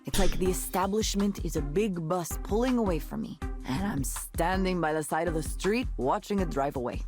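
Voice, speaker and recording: Actress as an animated, passionate woman musician, music behind. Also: fairly low pitch, moderate pace, lightly acted. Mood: resolute. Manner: animated, effusive, musing. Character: eccentric, passionate woman musician, reclusive ex-tech worker. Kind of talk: video game voice acting.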